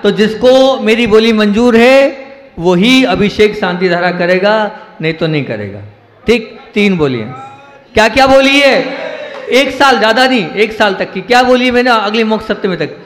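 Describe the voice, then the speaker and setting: A male Jain monk delivering a sermon to a gathered audience, asking rhetorical questions and repeating phrases for emphasis, swinging between raised emphatic lines and quiet asides. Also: sits high, expressive, normal speed. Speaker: Jain monk giving a discourse. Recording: echoey hall with long reverb.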